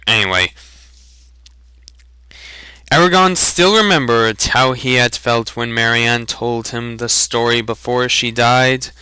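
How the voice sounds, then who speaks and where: Slow, bright-voiced man reading dramatically. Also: mid-range voice, smooth, no echo, rough recording. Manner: deliberate, theatrical storytelling. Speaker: reader of a fantasy novel. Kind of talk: dramatic audiobook reading with joking asides.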